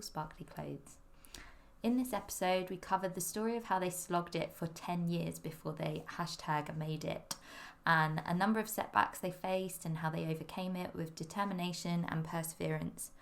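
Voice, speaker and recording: Upbeat woman podcast host, low creaky voice. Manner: upbeat, chatty. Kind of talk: podcast introduction and interview.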